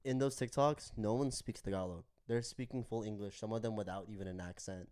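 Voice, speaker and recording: A young man co-hosting a podcast, talking in a relaxed, thoughtful way. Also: fairly low pitch, brisk, smooth. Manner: relaxed, thoughtful, many fillers. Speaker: podcast co-host. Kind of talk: casual chat.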